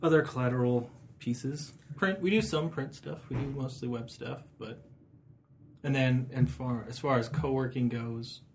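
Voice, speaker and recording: A man, a design business guest, explaining things in a measured, matter-of-fact way. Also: medium pitch, fairly even intonation, a little hiss or hum. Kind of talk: casual interview chat.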